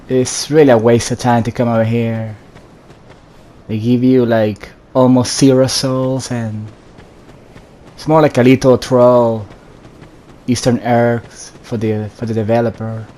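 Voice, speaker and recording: A man giving casual, low-key commentary on his own video game playthrough, thinking aloud in fragments. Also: slow pace, pauses often. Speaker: gamer narrating own playthrough. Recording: steady background noise behind voice.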